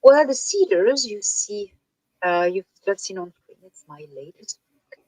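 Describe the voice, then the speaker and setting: A soft-spoken woman with a French accent, native French speaker, talking slowly as a guest on a video call and pausing to find her words. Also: mid-range voice, sing-song, no echo, rough recording. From France. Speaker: guest author.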